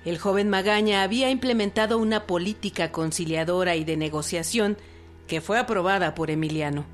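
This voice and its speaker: A woman's voice: on the low side, a little fast. A woman delivering scripted documentary narration as the narrator of a historical programme. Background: string music.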